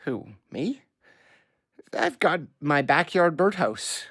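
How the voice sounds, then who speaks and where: A woman in a naturalistic audio drama playing a gentle, affectionate bird-loving parent. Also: low-pitched, big pitch swings, takes it slowly, dry recording, heavily acted.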